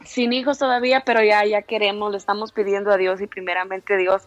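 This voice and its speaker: A woman's voice: mid-range voice, level tone, fairly quick, no echo, soft background music. A woman in her thirties, born in El Salvador, talking as a guest on an interview. She is chatty and laughs often, and her voice comes through dry and a little dull. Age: 30s. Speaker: singer, guest. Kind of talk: interview answers.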